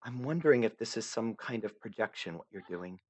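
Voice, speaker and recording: A man voicing a polite, soft-spoken young troll girl who is lost and lonely, speaking in a hushed, earnest way. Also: fairly low pitch, animated, brisk, voice pushed up. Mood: polite, puzzled.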